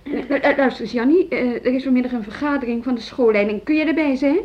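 Weary woman school director, fairly high voice, hesitant, conversational, radio play, slightly dull recording. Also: fast talker. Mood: polite, busy. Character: Hanneke, harried, weary music school director, adult woman. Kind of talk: naturalistic radio drama dialogue.

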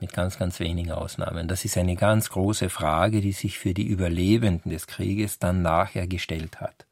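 A man speaks in a low voice, recorded very dry. He explains like a scholar being interviewed, building his thoughts deliberately, phrase by phrase. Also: gravelly, a little mellow, husky, no echo. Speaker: literary scholar.

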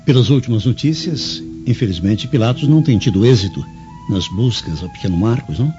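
A man's voice: medium pitch, expressive, brisk, lightly acted. An actor playing a courteous, suspicious Roman nobleman in a radio drama, formal and confiding. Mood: concerned. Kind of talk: old-fashioned radio drama acting. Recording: dull lo-fi radio drama with music.

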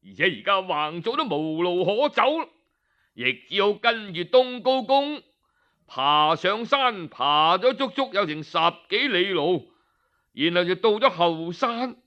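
A man with a Cantonese accent narrating a traditional tale in an expressive, colloquial storyteller's manner. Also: high voice, unhurried, lo-fi sound.

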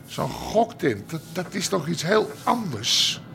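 Gravelly, blustering underworld boss. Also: male voice, on the high side, big pitch swings, slow pace, a bit bright, a little hiss or hum, exaggerated voice. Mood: indignant. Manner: colloquial, belligerent. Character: aggrieved, blustering underworld club owner. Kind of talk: naturalistic radio drama acting.

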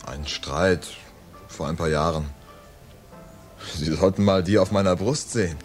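An actor playing a laconic, world-weary hard-boiled man in a radio crime play, understated and deadpan. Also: low-pitched, a little slow, faint music behind. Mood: guarded, wry. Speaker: narrator and lead character in a radio crime play. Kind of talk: scripted hard-boiled first-person narration and dialogue.

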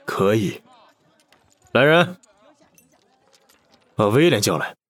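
A man playing a sly older gambling boss who taunts with drawn-out mock courtesy in a Chinese audio drama. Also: fairly low pitch, big pitch swings, fairly bright tone, dry recording, heavily acted. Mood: commanding.

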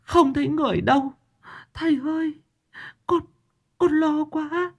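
A man's voice: extremely high pitch, steady tone, unhurried, creaky, pitched above the performer's own voice, exaggerated voice. A man voicing a distraught middle-aged village mother who sobs and pleads. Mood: tearful. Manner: wailing, sobbing pleas.

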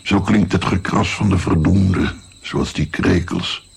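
An actor playing a weary, guilt-ridden grandfather of seventy-six in a radio drama, speaking in a brooding, pausing way with a gravelly voice. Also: low voice, steady tone, soft background music, full character voice. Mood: brooding, remorseful. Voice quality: gravelly, creaky. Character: weary, guilt-ridden 76-year-old Italian-born grandfather. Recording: faint noise, night crickets. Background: chirping crickets.

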